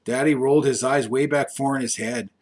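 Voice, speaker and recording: A man reading a children's story aloud as scripted storytelling, with expressive delivery that shifts for characters. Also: medium pitch, fairly quick.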